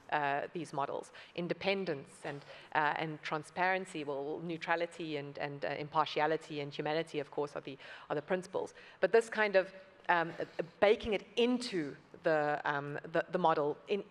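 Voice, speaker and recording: A woman speaking on a conference panel, earnestly explaining and repeating words for emphasis. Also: fairly low pitch. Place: conference hall. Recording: long reverb from a large hall.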